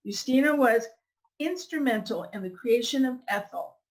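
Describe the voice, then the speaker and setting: A woman hosting a podcast, reading a scripted introduction and asking interview questions in a measured, clear way. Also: on the high side, animated, low-quality recording. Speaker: podcast host.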